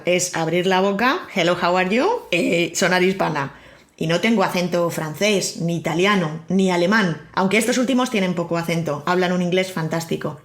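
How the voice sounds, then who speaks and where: A woman with an accent from Spain, hosting a podcast and reading a scripted monologue with wry, personal storytelling. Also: mid-range voice. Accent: Spain Spanish. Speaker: podcast host. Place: living in Miami.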